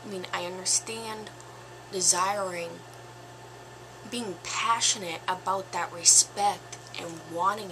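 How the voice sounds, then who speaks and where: A woman in her twenties with a slightly raspy voice, giving her opinion in a casual vlog, slow and thoughtful with pauses and fillers. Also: medium pitch, noisy background. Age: 20s. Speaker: vlogger.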